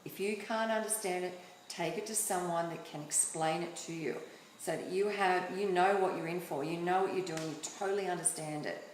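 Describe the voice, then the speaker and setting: A woman interviewing a guest in easy, casual conversation, peppering her talk with fillers. Her voice sits a little low. The room is echoey, with a faint hum underneath. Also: fairly quick. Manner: chatty, leans on fillers like "like" and "you know". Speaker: talk show host.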